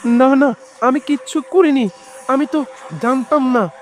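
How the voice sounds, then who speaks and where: A man's voice: extremely high pitch, steady tone, unhurried, slight background noise, voice pushed up. A man voicing a frightened, polite ambulance driver in a horror cartoon story, with shaky, stammering pleading. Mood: panicked, pleading.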